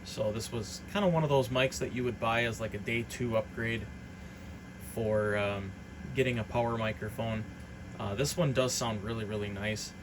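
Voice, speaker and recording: A man's voice: lowish voice, steady tone, moderate pace, noisy background. A man giving an informal, unscripted hobbyist product demonstration in a rambling, matter-of-fact way. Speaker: hobbyist radio reseller presenting a demonstration.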